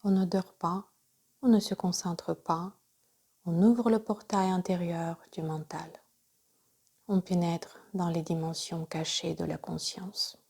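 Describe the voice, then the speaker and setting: A woman leading a guided yoga nidra relaxation as instructor, speaking softly and breathily, often near a whisper, in calm, measured short phrases. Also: fairly low pitch, gravelly, husky.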